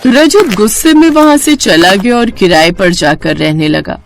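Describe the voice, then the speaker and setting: A woman's voice: medium pitch, lively intonation, unhurried. A woman narrating a scripted cartoon story as the storyteller. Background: faint music.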